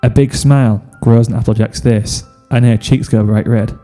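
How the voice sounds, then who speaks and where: A man's voice: medium pitch, steady tone, moderate pace, mellow, in a large hall, soft background music. A man reading a scripted second-person story as narrator. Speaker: fan fiction reader, narrator.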